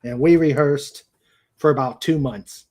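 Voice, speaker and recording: Slow-talking older man, halting. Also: fairly high pitch, fairly even intonation, a little mellow. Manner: halting, trailing off mid-sentence. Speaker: livestream show host. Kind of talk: casual livestream chat, greeting viewers.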